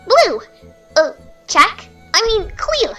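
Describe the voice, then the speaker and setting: Very high-pitched excitable young pony. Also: female voice, slow, full character voice. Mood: eager, brash. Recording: loud music over the voice.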